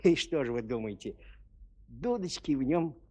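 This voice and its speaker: A man's voice: mid-range voice, lively intonation, normal speed, dark tone. A man narrating a children's fairy tale expressively, in a playful, confiding storyteller's manner that speaks straight to the listeners.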